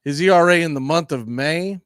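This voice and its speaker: A man's voice: a little high, fairly quick, a bit bright, smooth, no room sound, clean studio sound. A man hosting a baseball pregame show, talking through stats and matchups off the cuff. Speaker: sports show host.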